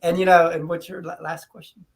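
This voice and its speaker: A man's voice: a little high, flat delivery, fairly quick. A man hosting a talk show, asking questions in a casual, conversational style. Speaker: talk show host, interviewer. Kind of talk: casual interview chat.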